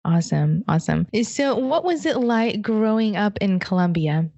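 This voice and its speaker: A woman's voice: medium pitch, lively intonation, moderate pace, mellow. A woman hosting a podcast, reading a scripted introduction and then interviewing her guest in an upbeat, eager manner. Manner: upbeat, eager, frequent "awesome". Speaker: podcast host.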